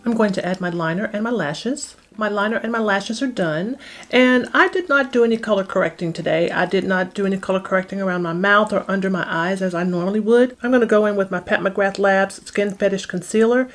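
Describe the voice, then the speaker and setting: A middle-aged woman with a medium-pitched voice speaks as a beauty vlogger. She explains each step of a makeup routine in a casual, instructional way. Light music plays behind her. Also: a little fast.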